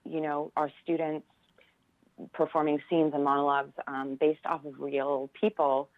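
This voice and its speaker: A low American female voice comes through a thin, phone-like connection with no room echo. She talks as an interview guest, conversational, earnest and appreciative. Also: flat delivery, moderate pace. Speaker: theater professor, interview guest. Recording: dull, phone-like band-limited line.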